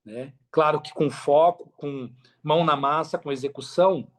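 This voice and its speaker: A man's voice: fairly high pitch, normal speed. A Brazilian man talking casually and earnestly on a podcast, repeating phrases for emphasis in a motivational way. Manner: earnest, repetitive for emphasis, conversational.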